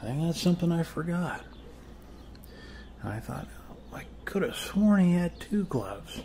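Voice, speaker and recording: A man playing a friendly, rambling middle-aged suburban neighbor in an ASMR role-play, with an American accent and a soft, hesitant, folksy delivery. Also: on the high side, measured pace. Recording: faint steady background noise.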